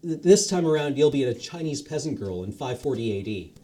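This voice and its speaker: A man's voice: medium pitch, animated, brisk, dark tone, slight background noise, natural voice. A man reading the lines of a casual, patient male God who talks like a teacher. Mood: offhand. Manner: breezy and offhand, teacherly.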